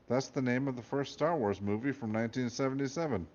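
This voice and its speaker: A man's voice: mid-range voice, fast talker, darkish tone, muffled recording, natural voice. A man voicing a clever young girl who talks her way out of trouble.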